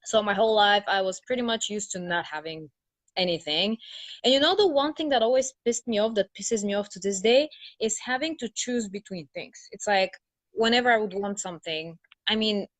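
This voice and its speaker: Woman, mid-pitched, dry sound, candid, sardonic, sweary, casual interview storytelling. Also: a little fast, no room sound. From Bulgaria. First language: Bulgarian. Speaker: interview guest, brand strategist.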